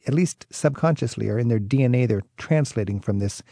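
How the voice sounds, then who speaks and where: Gravelly, mellow-voiced male host. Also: mid-range voice, a little fast, dry recording, studio quality. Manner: curious, enthusiastic questioning. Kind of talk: travel interview.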